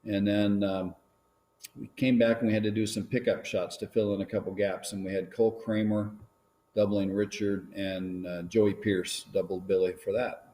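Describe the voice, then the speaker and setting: Male guest, low register, loose rambling delivery, casual stream chat. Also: moderate pace, slight background noise. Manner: relaxed, rambling, many ums and restarts. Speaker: filmmaker guest.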